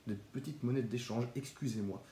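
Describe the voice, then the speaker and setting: A young man with a cold talks in a relaxed, chatty way, showing his graded trading cards in a casual vlog. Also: mid-range voice, normal speed, echoey room, slight background noise. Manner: relaxed, chatty, with small restarts. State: has a cold, stuffy. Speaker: Pokémon card collector YouTuber. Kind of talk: casual card showcase vlog.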